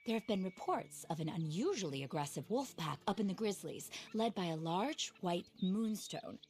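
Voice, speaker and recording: An actress playing an eccentric, passionate naturalist in a video game, with a well-spoken, theatrical, earnest delivery. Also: female voice, fairly low pitch, expressive, normal speed, darkish tone. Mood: rapturous. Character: eccentric, passionate female frontier naturalist, indignant animal lover. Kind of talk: video game cutscene acting.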